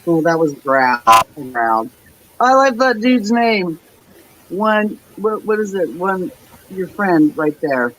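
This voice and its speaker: A man chatting as a guest on a call. He gushes excitedly about the people in the chat. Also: high-pitched, slow pace, noisy background. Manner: excitable, gushing. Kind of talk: casual chat.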